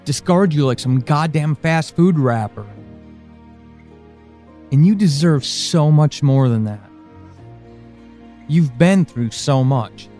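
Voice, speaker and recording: A man playing a worried, frustrated friend in an audio drama monologue, speaking haltingly and intensely. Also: on the high side, lively intonation, mellow, soft background music. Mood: worried, subdued.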